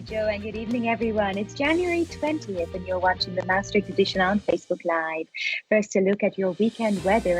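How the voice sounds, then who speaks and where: A woman's voice: mid-range voice, rough recording. Woman reading news steadily. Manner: measured, even newsreading. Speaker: news presenter. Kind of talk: reading the news on a live stream.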